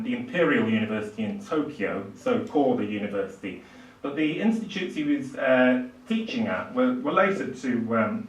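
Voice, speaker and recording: An older man giving a literary lecture in a halting, hesitant way, with frequent pauses. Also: mid-range voice. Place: small indoor lecture room with seated audience. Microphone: far camera, speaker at a lectern. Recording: roomy, distant microphone in a hall.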